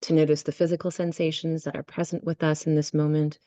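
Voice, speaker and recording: A woman leading a guided visualization and meditation, speaking gently and unhurriedly in short phrases with many pauses. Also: low-pitched, level tone, no room sound. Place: online video session.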